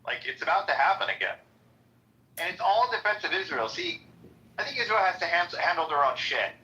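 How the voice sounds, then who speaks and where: A man with a high, nasal voice talking casually, stumbling over restarts and turning blunt when he gets heated. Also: big pitch swings, fairly quick, pushed and bright. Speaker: room host. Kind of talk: casual conversation. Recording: dull lo-fi audio.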